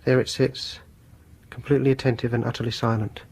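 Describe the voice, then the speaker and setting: An actor in a British radio horror drama playing a fussy, awkward, quietly intense music lover, with a British accent and a prim, hesitant, stammering way of talking. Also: male voice, mid-range voice, little pitch movement, brisk, creaky, slightly rough. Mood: prim, reminiscing.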